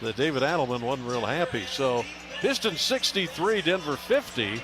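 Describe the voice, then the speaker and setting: A man's voice: medium pitch, very animated, normal speed, music in the background. An American man working as a radio announcer, giving live basketball play-by-play in short, clipped phrases. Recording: loud arena noise behind. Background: arena ambience, bouncing basketball.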